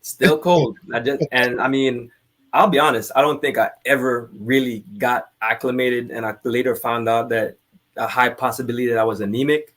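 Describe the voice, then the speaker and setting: A man's voice: medium pitch, fairly even intonation, moderate pace, fairly bright tone. Guy on a podcast, mid voice, just telling army stories straight up, casual, bit of swearing. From Trinidad. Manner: candid, informal, swears casually. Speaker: podcast guest, military veteran. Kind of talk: casual conversational storytelling.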